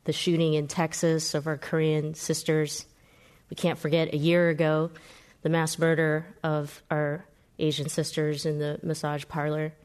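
A woman moderating a panel in a solemn, measured way. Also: sits low, little pitch movement, a little mellow, smooth.

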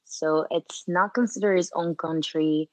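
Slow, low-voiced Martinique-born woman. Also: no echo, poor audio. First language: French. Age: late 20s. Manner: relaxed, hesitant with fillers, laughs often. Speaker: guest, fashion model. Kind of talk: interview conversation.

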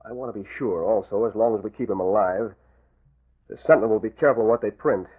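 An actor playing a controlled, smugly menacing gang leader with a husky, measured voice in a 1940s-style radio drama. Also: male voice, on the low side. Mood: coolly menacing. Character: cold, controlled male gang leader and killer. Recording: phone-like old radio recording.

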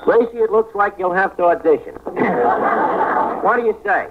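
Male actor as pompous tavern manager, radio comedy: high-pitched, swooping, fawning bluster, old noisy broadcast. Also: normal speed, narrow, tinny audio, lightly acted. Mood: boastful. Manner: pompous, mangles fancy phrases. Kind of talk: 1940s radio comedy acting.

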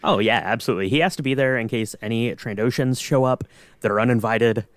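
A man's voice: mid-range voice, fast, dry recording. Playful man bantering, close dry mic. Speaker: podcast co-host. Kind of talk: casual fan banter.

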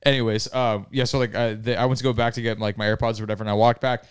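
Young male co-host, casual rambling storyteller, mid-pitched voice. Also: flat delivery, very fast, smooth, no echo, clean studio sound. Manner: rambling, full of "like" fillers. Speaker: podcast co-host.

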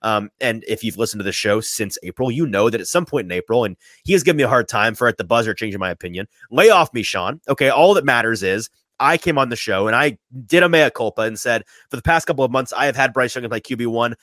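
A man hosting a sports talk podcast, sniffly from a sinus infection, animated and often repeating his first words as he starts a thought. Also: medium pitch, quick pace, hardly any pauses, dry recording. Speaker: podcast host.

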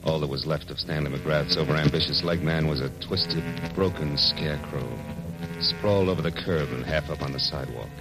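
An actor playing a cynical, tough-talking private detective in the wry, slangy manner of 1940s radio noir. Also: male voice, extremely low pitch, brisk, rough recording. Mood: grim, wry narrating. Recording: old 1940s radio broadcast, music behind.

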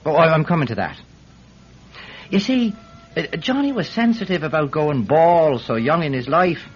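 A man's voice: fairly high pitch, animated, moderate pace, slightly rough. A man playing a talkative, evasive Irish villager in a radio drama, hesitant and wheedling as he rambles. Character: talkative, evasive Irish villager testifying about his friend. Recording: dull, lo-fi radio recording.

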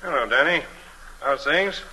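An actor playing an affable police inspector in an old-time radio thriller, probing in a casual, unhurried way. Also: male voice, on the high side, lively intonation, background noise. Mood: genial.

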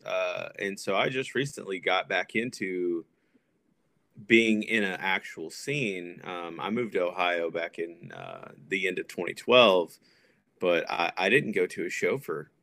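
An American man in his thirties from Texas, chatting casually as a podcast guest with a hesitant, pausing delivery. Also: low-pitched, creaky, sharp tone. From Texas, United States. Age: 30s. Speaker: podcast guest, punk music promoter. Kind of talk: casual chat.

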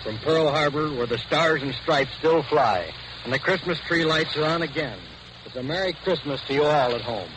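A man's voice: fairly high pitch, normal speed. A nineteen-year-old American man, a Marine, reading an earnest, patriotic scripted address in a 1940s radio broadcast. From Wyoming, United States. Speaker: US Marine private. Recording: noisy vintage 1940s shortwave radio broadcast.

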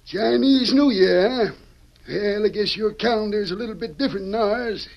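An actor playing a crusty, plainspoken Western storekeeper in an old radio drama, with a gravelly voice and folksy, blunt American frontier talk. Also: male voice, sits high, normal speed. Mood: friendly. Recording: dull vintage radio recording.

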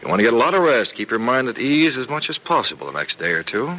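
A man's voice: medium pitch, very animated, fast, pushed and bright. An actor playing a firm, reassuring male doctor in an old-time radio serial, speaking patiently and persuasively. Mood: kindly. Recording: noisy vintage radio drama recording.

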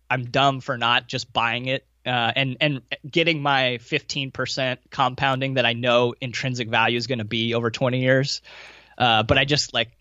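A man's voice: mid-range voice, a bit bright, no room sound. Casual American man, slightly muffled dry audio. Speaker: podcast guest, value investor running a fund. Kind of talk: casual conversational interview answers.

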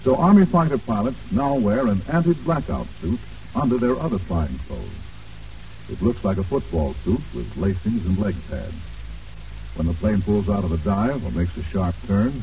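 An American man announcing on 1940s radio, reading a script in a formal, polished way with deliberate emphasis. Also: on the low side, dark tone, slight background noise. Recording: old 1940s radio broadcast, distant, music.

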